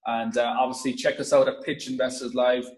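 A man from Ireland with an Irish accent, a podcast guest talking up his app in quick, eager bursts full of restarts and fillers. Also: flat delivery, a little mellow. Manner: quick, enthusiastic pitch with many restarts and fillers. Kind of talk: casual interview talk.

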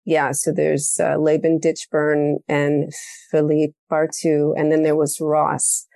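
A woman hosting a podcast, telling a story in a casual, animated way and acting out the quoted lines. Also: sits low, takes it slowly, creaky, no echo, studio quality. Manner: animated storytelling, acts out quoted dialogue, laughs often. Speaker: podcast host. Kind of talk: casual conversational interview.

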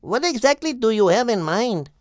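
A man's voice: sits high, sing-song, moderate pace, no echo, clean studio sound, voice pushed up. A man voicing a tiny, cheerful, eager bird in a children's audiobook. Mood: curious. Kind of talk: audiobook character voice.

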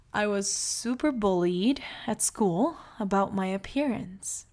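Woman, 30s, from Brazil, slow-paced, soft-spoken, reflective personal monologue. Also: medium pitch, animated. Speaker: mother telling her story. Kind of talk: personal life story monologue.

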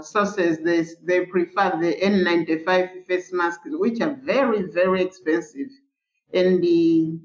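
A woman nurse from Malawi, recalling hard times in an earnest, halting interview with many fillers and restarts. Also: lowish voice. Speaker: palliative care nurse, interviewee. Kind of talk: candid interview recollection. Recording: echoey, dull remote recording.

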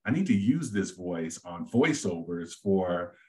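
A middle-aged man, a real estate agent, talking at length and easygoing in a casual interview, with a deep voice he says suits radio. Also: very animated, unhurried, darkish tone. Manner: easygoing, talks at length with many fillers and restarts.

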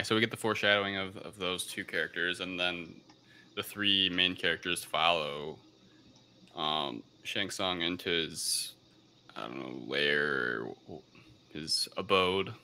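Deep-voiced man with a very sharp, forceful sound. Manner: halting, rambling recounting. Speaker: podcast host. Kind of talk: casual film review discussion.

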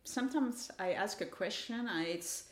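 A woman's voice: medium pitch, normal speed. A woman interviewing someone in a conversational way, posing questions and reflecting on the answers. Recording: echoey room.

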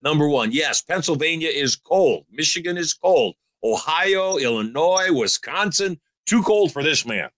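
A man's voice: fairly high pitch, animated, unhurried, few pauses, a bit bright, dry recording. Upbeat older man coaching a caller. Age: 60s. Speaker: host giving advice. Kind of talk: motivational call-in advice.

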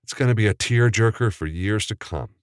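A man voicing a bold young man who talks in a lively, teasing way. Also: fairly low pitch, fairly quick, clear, resonant tone, dry recording, studio quality, pitched above the performer's own voice, natural voice. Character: young man, time traveler on set, bold.

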